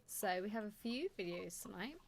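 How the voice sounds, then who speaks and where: A woman co-hosting a live stream with a hoarse voice, chatting casually and greeting viewers. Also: mid-range voice, lively intonation, normal speed, a little hiss or hum. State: hoarse, losing her voice.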